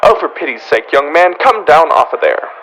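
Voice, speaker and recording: Swooping, fussy, pompous store floorwalker. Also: male voice, on the high side, sing-song, normal speed, fairly bright tone, a little hiss or hum, low-quality recording. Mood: exasperated, demanding. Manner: pompous, prim, emphatic. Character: fussy, haughty department store floorwalker, easily exasperated. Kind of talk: old-time radio comedy acting.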